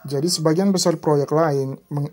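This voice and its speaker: A woman's voice: low-pitched, steady tone, moderate pace, mellow. A woman with an Indonesian accent presenting an informal tutorial, explaining step by step in a hesitant way. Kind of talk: informal screen tutorial narration.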